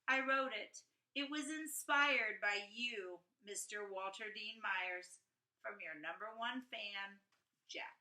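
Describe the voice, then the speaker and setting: A woman voicing an earnest, gushing schoolboy in an expressive storybook read-aloud, leaning emphatically on repeated words. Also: medium pitch. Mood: sincere. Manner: emphatic, halting repetition of words. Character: earnest, gushing schoolboy writing journal poems and letters. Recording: echoey room.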